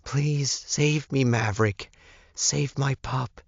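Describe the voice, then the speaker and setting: A man's voice: mid-range voice, steady tone, takes it slowly, dry recording, lo-fi sound, voice pushed up. A man voicing a frightened, pleading female werewolf in danger in an audiobook. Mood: pleading, desperate.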